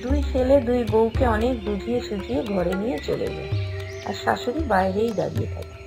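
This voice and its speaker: A woman tells a cartoon moral story as a scripted narrator. Her voice sits fairly high, with music clearly audible behind it. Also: fairly quick.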